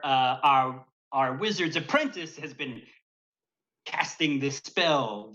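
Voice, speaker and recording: A man's voice: mid-range voice, pushed and bright, highs cut off. A man narrating as dungeon master in a tabletop game stream, rambling with many fillers and chuckles. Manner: rambling, hesitant, frequent fillers and laughter.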